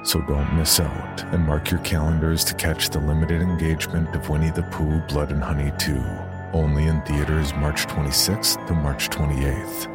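Very deep male movie promo announcer. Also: level tone, moderate pace, few pauses. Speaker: advertisement announcer. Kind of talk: scripted movie trailer promo. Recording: voice over music.

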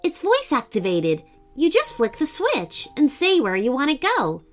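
A woman voicing an eager, confident young boy adventurer in a children's story, excitable and matter-of-fact. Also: high voice, a little slow, faint music behind, voice pushed up, exaggerated voice.

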